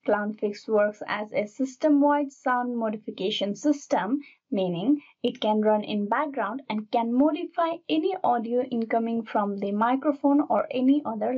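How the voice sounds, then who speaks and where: High-voiced woman narrating, muffled recording. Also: dark tone. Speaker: tutorial channel host. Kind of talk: scripted narration of software tutorial. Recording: dull, muffled lo-fi voice-over.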